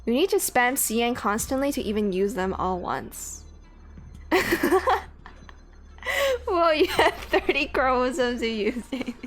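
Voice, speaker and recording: A woman's voice: fairly high pitch, expressive, measured pace, long pauses. A woman whose first language is Cantonese, streaming a game in casual chat, swearing freely and laughing often. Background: faint game music.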